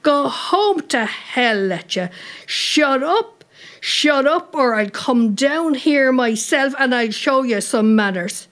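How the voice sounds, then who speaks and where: A woman's voice: mid-range voice, slow pace, few pauses, a bit bright. A woman voicing a bad-tempered, snarling Irish night watchman in a story, with an Irish accent. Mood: threatening. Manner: snarling, abusive.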